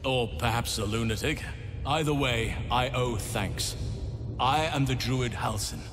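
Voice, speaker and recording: A man playing a grave, wry elf druid warrior in a video game, measured and formal. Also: medium pitch, close to an everyday voice. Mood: wry, grateful. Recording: reverberant stone room, music behind.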